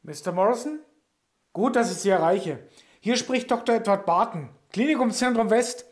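A deferential, naive-seeming male doctor acted by a man in an audio drama. His voice sits high for a man. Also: expressive, normal speed. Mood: deferential, probing.

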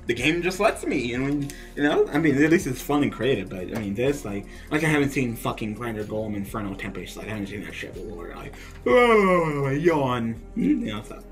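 A man's voice: medium pitch, very animated, moderate pace, faint music behind. A young man venting over a card game he just played, swearing and laughing in exasperation as he comments. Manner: ranting, profane, laughing in exasperation.

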